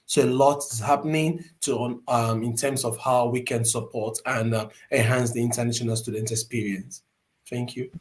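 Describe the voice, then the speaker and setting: A man's voice: medium pitch, steady tone, normal speed. Man hosting with frequent ums. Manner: frequent ums, warm thanks, anecdotal. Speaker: co-host, MBA director. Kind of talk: hesitant conversational panel talk.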